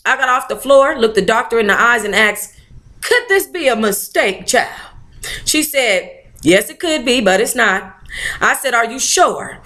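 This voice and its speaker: Woman, book club reader, bright tone, very lively intonation, sassy, informal, playful asides. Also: fairly high pitch, unhurried. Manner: animated, sassy, informal interjections. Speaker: book club host reading a memoir aloud. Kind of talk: expressive read-aloud with playful ad-lib commentary.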